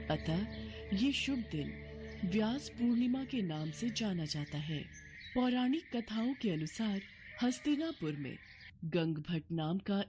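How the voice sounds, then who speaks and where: Woman storyteller with music behind. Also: medium pitch. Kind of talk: scripted devotional story narration.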